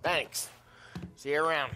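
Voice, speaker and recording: A man's voice: on the high side, measured pace, pushed and bright. A man playing a brash, grumbling mob enforcer in a video game, with a cocky, needling way of talking. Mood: casual, needling. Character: brash, grumbling, wisecracking male mobster enforcer. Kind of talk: dramatic video game cutscene acting.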